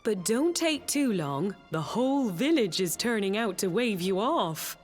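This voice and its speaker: A woman's voice: mid-range voice, big pitch swings, measured pace. An actress playing a homely, plain-spoken British village mother in a video game, with a folksy, fondly chiding way of talking. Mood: proud, tender. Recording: game music behind.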